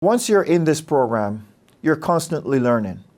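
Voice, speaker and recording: A man from Barbados, a business school dean speaking about his program in a measured, earnest, persuasive way. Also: fairly high pitch, big pitch swings, darkish tone. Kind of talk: promotional interview talk.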